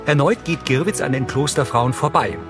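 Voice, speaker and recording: A man's voice: mid-range voice, moderate pace. A man reading scripted audio description in a neutral, matter-of-fact way. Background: loud music.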